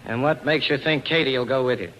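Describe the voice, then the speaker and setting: An actor playing a tough, wary club owner and scheming rival in a 1940s radio drama. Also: male voice, medium pitch, quick pace, fairly bright tone, natural voice. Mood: menacing. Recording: faintly noisy 1940s radio broadcast.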